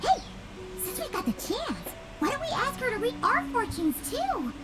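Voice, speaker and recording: An actress playing a small, excitable, childlike fairy companion with a chirpy, whiny manner in a video game. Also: female voice, extremely high pitch, moderate pace, over loud music, heavily acted. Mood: chirpy, curious. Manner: refers to self by name, chirpy, whiny.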